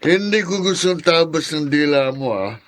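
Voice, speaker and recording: Man acting Filipino radio drama, boozy old uncle, belligerent, somewhat high male voice. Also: animated, moderate pace, natural voice. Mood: drunk, touchy.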